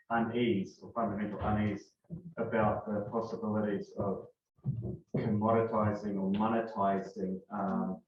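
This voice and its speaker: A hesitant man sounds far from the mic in a very echoey room. His fairly low voice comes through dull and lo-fi, with faint noise behind it. He searches for words and pauses often with ums as he asks his question. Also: darkish tone. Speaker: audience member asking a question.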